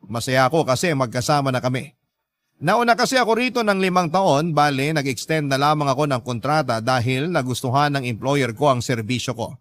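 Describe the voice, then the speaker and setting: A man with a Filipino accent narrating a scripted letter as a radio drama host, in a measured storytelling manner. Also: medium pitch, a bit bright.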